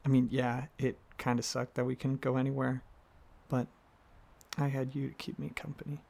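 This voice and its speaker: A man playing an affectionate, excitable dragon boyfriend in an ASMR roleplay, talking casually and a little haltingly, with a gravelly voice. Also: medium pitch. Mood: affectionate, relaxed. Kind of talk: ASMR roleplay, softly addressing the listener as partner.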